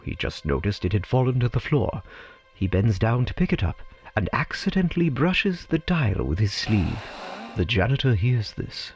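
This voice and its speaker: A man's voice: medium pitch, big pitch swings, moderate pace, highs cut off. A man narrating an audio drama as scripted storytelling, with a theatrical delivery. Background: prominent music.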